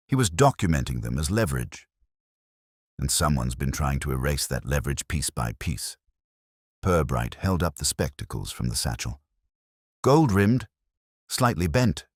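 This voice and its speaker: Extremely deep-voiced male mystery narrator. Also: husky, no room sound, studio quality. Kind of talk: scripted mystery story narration.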